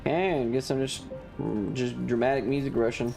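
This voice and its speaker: A man's voice: medium pitch, fairly even intonation, moderate pace, vocal fry, a little mellow. A man casually commentating a video game on a livestream and chatting with viewers, sweary and dramatically exasperated. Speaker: streamer. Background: video game music.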